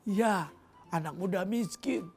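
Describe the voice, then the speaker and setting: A woman's voice: medium pitch, slow pace, darkish tone, soft background music. A woman voicing a poor, grumbling young man in a children's fairy tale, complaining with whines and sighs. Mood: whining self-pity. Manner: whining, sighing complaints.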